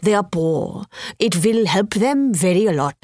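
A woman's voice: mid-range voice, expressive, measured pace, a little mellow, no room sound, clean studio sound, voice pushed up, close to an everyday voice. A woman voicing a humble, grateful Indian woman tourist with a thick Indian accent and broken English, in an audiobook. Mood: cheerful. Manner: broken English, simple phrasing.

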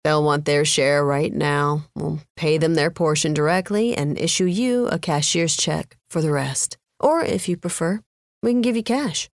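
A woman voicing a polite, businesslike male casino manager with measured, formal speech in an audiobook. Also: low voice, no echo, studio quality.

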